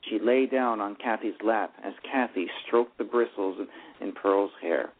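A man's voice: on the low side, moderate pace. A man narrating a live radio play, often stumbling and restarting his words. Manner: reads with frequent stumbles and restarts. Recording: tinny phone-line radio broadcast.

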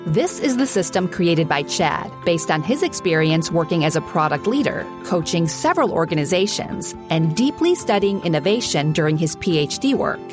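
A woman reading a scripted promo in a smooth, persuasive announcer style. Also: lowish voice, very animated, normal speed, few pauses, over music. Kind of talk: scripted ad read.

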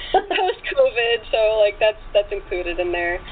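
A woman's voice: mid-range voice, big pitch swings, slow pace. A woman guest chatting casually in an interview, talking in a chatty way peppered with "like" and "you know". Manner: chatty, frequent 'like' and 'you know'. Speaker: guest author and actress. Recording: tinny phone line with clear background noise.

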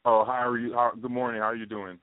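A man's voice: mid-range voice, little pitch movement, fast talker, no room sound. A man hosting a casual interview show, rambling with lots of fillers and repeats. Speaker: podcast host. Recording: tinny phone line.

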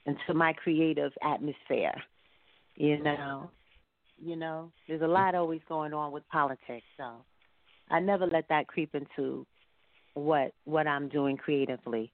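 A woman's voice: deep voice, measured pace, no echo. A woman talking casually and colloquially as an interviewed singer, with an encouraging, emphatic way of speaking. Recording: muffled phone-like line.

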